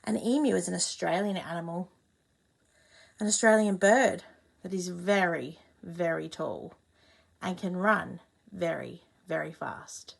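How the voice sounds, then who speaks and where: Brief: female storyteller for kids, slow and unhurried, very lively pitch, gravelly edge. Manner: expressive, pausing to ask young listeners questions. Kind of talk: reading a children's picture book aloud.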